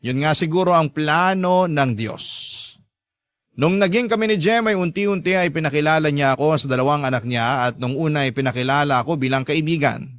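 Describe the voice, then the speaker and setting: Higher-pitched Filipino man telling stories. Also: fairly quick, a bit bright, no room sound. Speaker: storytelling host. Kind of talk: scripted dramatic narration, reading a listener's letter.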